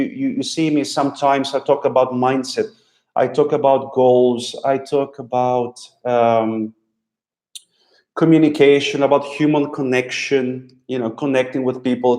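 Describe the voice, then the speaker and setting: A man with a Russian accent hosting a live stream as a language teacher, speaking slowly and haltingly and repeating his words as he talks. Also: medium pitch, a little mellow. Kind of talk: live stream talk answering viewers. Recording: echoey room.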